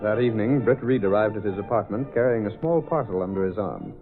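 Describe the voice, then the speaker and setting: A man's voice: lowish voice, fast talker, music in the background. A man narrating a scripted old-time radio drama as its announcer, formal and dramatic. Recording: tinny phone-like old radio recording.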